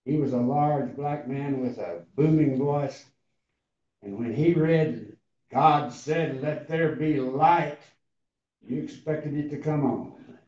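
Raspy old guy in his 80s reading scripture slow, dull far-off hall sound. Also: a little high, warm tone. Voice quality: raspy, tender voice. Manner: slow, deliberate, halting reading. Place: large church hall before a seated congregation.

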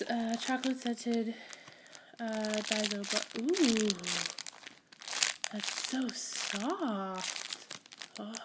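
A woman's voice: a little high, heavy background noise. A young woman vlogger with a head cold, chatting casually and rambling as she unboxes small toys, gushing and giggling. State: congested with a cold. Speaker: squishy collector vlogger. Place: indoors at home, camera pointed at a table.

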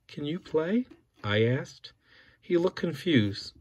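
A man's voice: a little high, animated, slow pace, darkish tone, slightly rough. A father reading a children's picture book aloud, bringing the characters' quoted lines to life as he goes. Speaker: father reading to his son.

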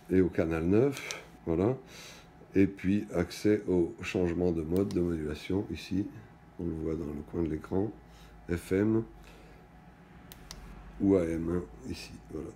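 A middle-aged man giving an unscripted hands-on product demonstration, speaking in halting, stop-and-go phrases with trailing pauses. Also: deep voice, fairly even intonation, dark tone, slight background noise. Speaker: product presenter. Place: indoors at a wooden table in a small shop or office.

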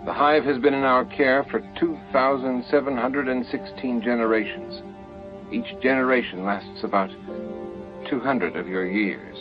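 A man's voice: medium pitch, steady tone, a little slow, muffled recording, over music, pitched above the performer's own voice, lightly acted. A man voicing a proud, defensive alien hive leader in an audiobook, speaking formally and earnestly. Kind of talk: audiobook character dialogue.

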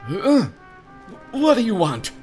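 A man's voice: sits high, wildly swooping pitch, takes it slowly, a little mellow, faint music behind, voice pushed up, heavily acted. A man voicing a grumpy, exasperated male harbor captain who resents paperwork, in an adventure game. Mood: irritated.

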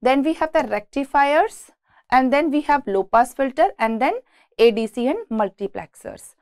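A woman's voice: high-pitched, lively intonation, normal speed, a little mellow, no echo, clean studio sound. A woman with an Indian accent giving an engineering lecture from slides, explaining step by step and often repeating words as she goes. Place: indoors, beside a presentation screen.

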